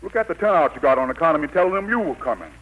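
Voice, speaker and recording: An actor playing a cruel, blustering old Pharaoh in a folksy dialect, in 1940s radio style. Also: male voice, fairly high pitch, animated, very fast, phone-quality sound. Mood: irritated. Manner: folksy Southern dialect, bossy. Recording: 1940s radio broadcast recording.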